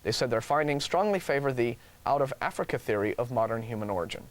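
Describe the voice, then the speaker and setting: A man's voice: mid-range voice, animated, fast. An American man co-hosting a talk show, reading out news items with casual, wry and mocking asides. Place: TV studio.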